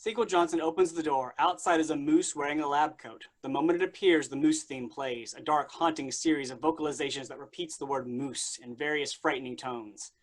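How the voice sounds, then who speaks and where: A man's voice: a little high, steady tone, brisk, few pauses. A man reading stage directions aloud as the narrator of a staged play reading.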